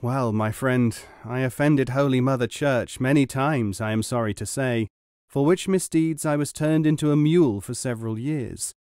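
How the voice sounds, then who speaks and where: Man playing a pious, sly young gentleman student. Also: mid-range voice, moderate pace, smooth, dry recording, studio quality, natural voice. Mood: sad, pious. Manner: earnest, pleading, formally polite. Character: sly young nobleman student, pious, earnest, falsely wronged. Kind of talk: storybook character reading.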